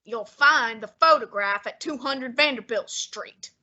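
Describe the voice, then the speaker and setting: A woman playing a fussy, imperious, heavyset male detective in a student radio drama, speaking in a haughty, deliberate, commanding way with a breathy tone. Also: on the high side, bright, forceful tone, highs cut off, heavily acted.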